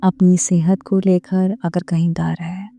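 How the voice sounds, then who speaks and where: A woman leading a guided meditation in a gentle, measured, soothing manner, with pauses between phrases. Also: on the low side, level tone, creaky. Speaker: meditation guide and series host. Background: background music.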